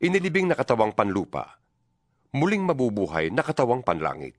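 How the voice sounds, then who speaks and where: A man's voice: mid-range voice, huge pitch swings, brisk, no room sound, clean studio sound. A man with a Filipino accent playing an apostle exhorting fellow believers in an emphatic, oratorical dramatized Bible reading. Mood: solemn, emphatic. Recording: music bed behind the voice.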